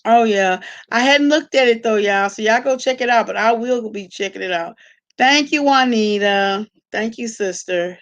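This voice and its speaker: A woman with a fairly high voice hosts a casual live stream. She talks straight to her viewers in an informal, conversational manner, using plenty of slang. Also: normal speed. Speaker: live-stream host reacting to online gossip. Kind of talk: casual livestream chat with viewers.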